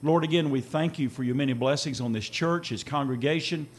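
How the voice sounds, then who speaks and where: A man with a voice raspy from an earlier service, leading a church prayer in a steady, repetitive cadence. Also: medium pitch, fairly quick.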